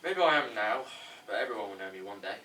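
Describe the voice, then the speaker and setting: A man's voice: on the low side, lively intonation, fast talker, close to an everyday voice. A man playing a naive, self-important young aspiring actor at an amateur table read, earnest and blunt. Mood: proud, defiant. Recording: distant mic with background noise.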